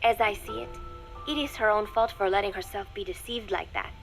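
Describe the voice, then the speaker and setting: Woman playing a measured, wistful teenage girl spy, music behind. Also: medium pitch, gravelly, close to an everyday voice. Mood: cool, disdainful. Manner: measured, deliberate, formal diction without contractions. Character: teenage girl spy recording secret diary, wistful, coolly resolute. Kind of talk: scripted audio diary narration.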